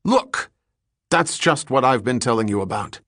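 A man's voice: medium pitch, lively intonation, a little slow, fairly bright tone, dry recording, studio quality. A man playing a blunt, commanding navy sea captain in an audiobook, emphatic and instructing as he explains. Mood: excited, exasperated. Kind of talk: dramatic audiobook character reading.

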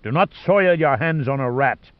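A man's voice: medium pitch, expressive, moderate pace, no room sound, phone-quality sound, natural voice. A man voicing an arrogant, callous older male tycoon villain in an audiobook, speaking with cold, pompous scorn. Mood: snapping command. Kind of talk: audiobook character dialogue.

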